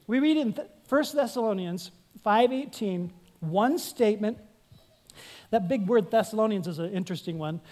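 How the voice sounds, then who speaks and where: A woman's voice: medium pitch, mellow. A woman pastor delivering an earnest sermon, putting questions to her congregation and pressing her points.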